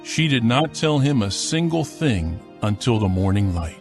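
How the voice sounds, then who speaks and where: Measured male scripture narrator, medium pitch. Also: big pitch swings, a little mellow, soft background music.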